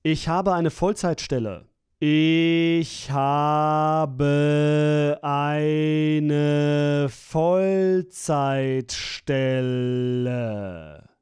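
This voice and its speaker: Very slow, crisp male course speaker. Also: on the high side, darkish tone, no echo, clean studio sound. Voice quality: crisp, careful enunciation. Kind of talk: slow, clear phrase-by-phrase repetition.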